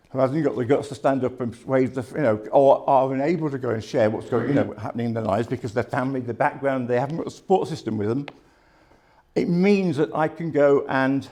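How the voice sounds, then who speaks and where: Earnest fifty-something man, mellow voice. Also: medium pitch. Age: 50s. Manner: candid, plain-spoken, earnest. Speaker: speaker sharing lived experience. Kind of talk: personal testimony at a live talk. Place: live talk before an audience.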